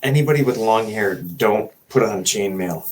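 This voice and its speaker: A man's voice: lowish voice, lively intonation, normal speed, slight background noise. A man co-hosting a casual podcast with brief reactions and jokey asides. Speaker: podcast co-host. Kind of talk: casual chat.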